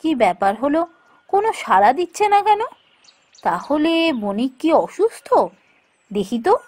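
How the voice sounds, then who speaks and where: A woman's voice: high-pitched, big pitch swings, normal speed, darkish tone. A woman playing a curious, caring young village woman in a folk-tale cartoon, polite and questioning. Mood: worried.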